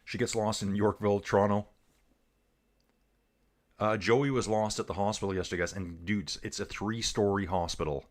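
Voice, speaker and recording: A chatty man with a lowish voice runs a livestream race commentary. He reads viewer messages aloud and riffs casually, calling everyone guys and dude. His tone is loose and conversational throughout. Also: fairly even intonation, normal speed, long pauses. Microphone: earbuds.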